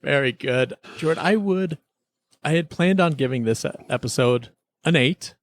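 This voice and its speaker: A man's voice: on the high side, normal speed. A man chatting casually and enthusiastically as a podcast host, rambling like a devoted fan through an episode review.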